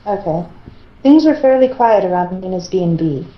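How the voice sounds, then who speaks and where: A woman's voice: on the low side, lively intonation, normal speed. A woman chatting casually and reading a story aloud as an amateur, over an internet voice call. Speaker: participant in a group fan fiction reading. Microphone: internet group voice call. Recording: dull, echoey internet voice call.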